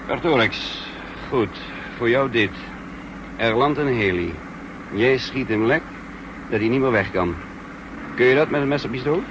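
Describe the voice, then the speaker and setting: An actor playing an authoritative commander in a 1960s radio spy drama, speaking in a clipped military manner. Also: male voice, mid-range voice, expressive, unhurried, pauses often, lightly acted. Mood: brisk, businesslike. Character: brisk, authoritative male espionage commander briefing paratroopers. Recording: muffled, noisy old 1960s radio recording.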